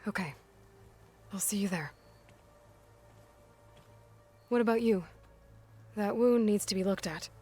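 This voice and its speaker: A woman's voice: mid-range voice, moderate pace, mellow, close to an everyday voice. A woman playing a compassionate, determined young hunter heroine in a game cutscene, earnest and direct. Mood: concerned. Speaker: game character actor. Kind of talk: naturalistic game cutscene acting. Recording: music behind the voice.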